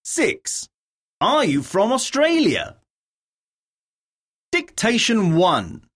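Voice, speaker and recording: A woman narrating a scripted English lesson, reading out words, questions and dictation in a deliberate, enunciated way with pauses. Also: mid-range voice, wildly swooping pitch.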